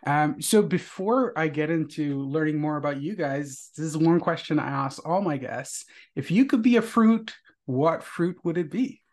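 A man from India with an Indian English accent, hosting a casual interview podcast in a relaxed, friendly way. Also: fairly high pitch, normal speed, hardly any pauses. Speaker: podcast host.

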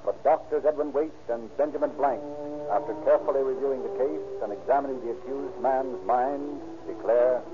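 A man's voice: mid-range voice, brisk, over music. Formal male announcer, tinny old radio. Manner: formal, declarative. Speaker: narrator and announcer. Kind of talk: 1930s radio narration and sponsor reading. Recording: tinny, noisy 1930s radio recording.

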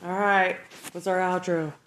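A woman's voice: fairly low pitch, level tone, unhurried, fairly bright tone, rough recording. A woman from Virginia with a Southern accent, co-hosting a casual family podcast and teasing bluntly. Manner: teasing, blunt, swears, calls people bud. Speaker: podcast co-host, mother.

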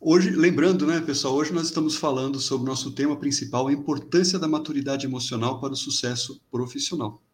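A man's voice: mid-range voice, brisk, few pauses. Upbeat male host of a live series. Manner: upbeat, formal welcoming introductions. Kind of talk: live stream interview hosting.

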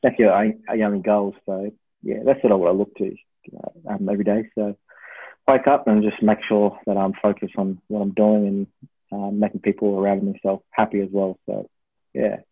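An Australian man in his twenties with a husky voice, chatting casually and hesitantly as an interview guest, rambling a little as he goes. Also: fairly low pitch, little pitch movement, moderate pace. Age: 20s. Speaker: podcast guest, university student. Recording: thin phone-like line with room echo.

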